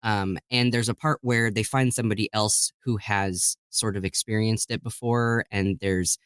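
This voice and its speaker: A man's voice: fairly low pitch, steady tone, moderate pace, a bit bright, clear, resonant tone, dry recording, studio quality. A man talking casually and enthusiastically as a guest in an interview about his work as a voice actor. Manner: enthusiastic, chuckles while talking. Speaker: guest voice actor and audiobook narrator.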